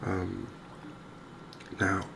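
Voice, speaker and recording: A man softly narrating a game in ASMR style, hushed and hesitantly thinking aloud. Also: sits low, monotone, extremely slow, gravelly. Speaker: gaming video host. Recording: noticeable background ambience noise. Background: game ambience.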